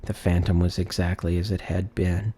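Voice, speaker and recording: A man narrating a classic story in a solemn, measured, theatrical style. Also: low voice, level tone, dark tone, breathy edge. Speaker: audiobook narrator.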